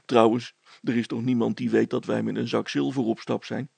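A man's voice: medium pitch, flat delivery, moderate pace, vocal fry, darkish tone, breathy edge, no room sound. A man voicing an easygoing, helpful village man in a storybook reading, talking plainly and familiarly. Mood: amused, dismissive.

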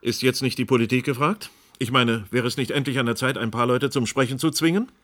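A man's voice: mid-range voice, fairly quick, fairly bright tone, close to an everyday voice. An actor playing an insistent radio presenter in a German radio play, formal and pointed as he presses his guests. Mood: pressing. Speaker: radio host. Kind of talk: German radio play as live panel programme.

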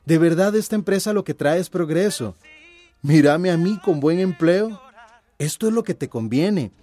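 A man's voice: high voice, expressive, moderate pace, dark tone, soft background music. A man acting an earnest, insistent company loyalist in a radio soap opera. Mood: defensive, insistent. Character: earnest, defensive young male company loyalist.

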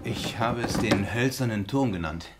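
An actor playing a plain-spoken village carpenter in a radio play. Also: male voice, on the low side, lively intonation, moderate pace, very noisy, natural voice. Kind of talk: German radio play acting.

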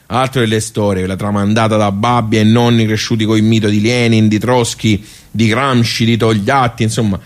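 A man's voice: on the low side, lightly acted. A man playing a wry, nostalgic regular of a workers' club in a radio monologue, colloquial and rambling, with a Tuscan accent. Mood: wistful, rueful. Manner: rambling, colloquial, self-interrupting. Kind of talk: radio theatre monologue, conversational.

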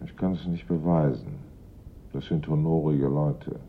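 Casting: dogged radio-play detective, rock-bottom pitch, grave and reasoning; muffled vintage recording with slight noise. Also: male voice, fairly even intonation. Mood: grave suspicion. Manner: deliberate, earnest, reasoning. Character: dogged male police investigator, grave, brooding, suspicious. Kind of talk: German radio drama acting. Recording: dull old radio recording, noisy rumble.

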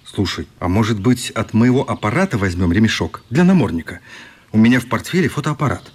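An actor playing a good-natured but exasperated grown man in a radio play, informal, animated and grumbling good-humouredly, slightly husky. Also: fairly low pitch, fairly quick. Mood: rueful, grumbling.

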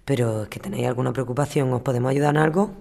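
A woman plays an older wife and mother in a radio drama, meddling and anxious. She rattles along quickly, and her voice has a heavy creak. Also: extremely low pitch, warm tone, heavily acted. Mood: concerned, indignant.

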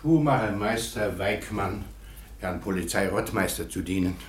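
A man plays a humble master shoemaker in a radio play, polite and eager to have his say. His voice sits low. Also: normal speed, close to an everyday voice. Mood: deferential. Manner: servile and deferential.

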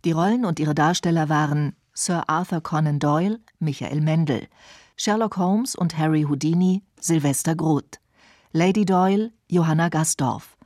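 A woman's voice: deep voice, moderate pace, darkish tone, dry recording, studio quality. A woman announcer reading out the cast credits of a radio drama.

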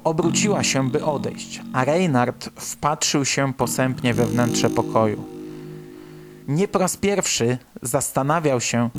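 A man reading a scripted horror story as the narrator of a radio drama. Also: medium pitch. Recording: voice under loud music. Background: loud music.

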